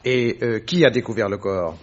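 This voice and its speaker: An actor playing a composed, shrewd detective with an incisive, courteous manner in a French radio drama. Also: male voice, on the low side, moderate pace, lightly acted. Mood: measured, businesslike. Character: composed, shrewd male detective, probing and politely ironic. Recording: dull, muffled vintage radio recording.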